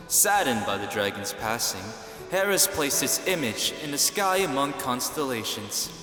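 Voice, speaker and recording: A man's voice: mid-range voice, sharp tone. A man performing an ASMR romantic roleplay as a tender, teasing boyfriend, speaking affectionately to his partner. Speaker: amateur audio roleplay performer. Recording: clearly noisy, ambience behind voice. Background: ambient background sound.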